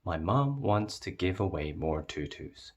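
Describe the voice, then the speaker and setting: A man narrating a children's storybook as a read-aloud, with expressive delivery. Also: low-pitched, unhurried.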